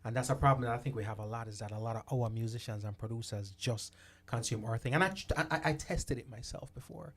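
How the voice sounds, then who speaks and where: A male host in a relaxed interview conversation. He talks with a mid-range voice, often backs the guest up with quick affirmations and repeats words while gathering his thoughts. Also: medium pitch. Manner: frequent affirmations, stammered repeats. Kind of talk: casual interview talk.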